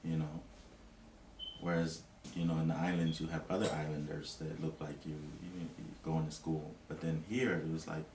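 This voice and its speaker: A man's voice: rumbling low, flat delivery, normal speed, darkish tone, roomy sound, distant mic, a little hiss or hum, low-quality recording. A man from Tonga, an interviewee, talking reflectively in a casual way, with many "you know" fillers.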